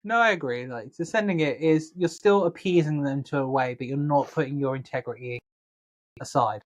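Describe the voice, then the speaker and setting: A man's voice: mid-range voice, moderate pace. A man co-hosting a casual podcast, reading posts aloud and joking around with a sweary, laid-back manner. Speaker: podcast co-host.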